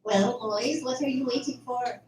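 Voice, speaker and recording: A woman's voice: on the high side, a little slow, darkish tone, close to an everyday voice. A woman playing a domineering, eerie kitchen boss in an amateur radio drama, giving stilted, formal commands. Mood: imperious, gloating. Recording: very echoey room, distant, faint hiss.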